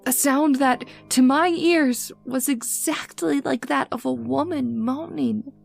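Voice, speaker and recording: A woman's voice: high voice, takes it slowly, gravelly, faint music behind, exaggerated voice. A woman playing an anxious, frightened daughter in an old-time radio horror drama, with dramatic, earnest delivery. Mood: haunted, bewildered. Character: anxious, frightened daughter recounting her father's haunting.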